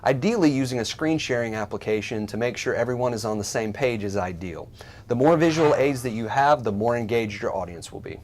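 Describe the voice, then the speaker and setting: A man co-hosting a workplace tech show, giving measured, scripted explanations with light, dry banter. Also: mid-range voice, expressive, darkish tone.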